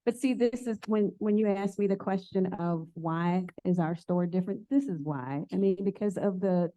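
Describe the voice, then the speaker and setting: A woman's voice: lowish voice, mellow, dry recording. A woman who owns a plant shop, talking earnestly and casually to an audience and answering their questions. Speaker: plant shop owner giving a talk. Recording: tinny phone-like sound.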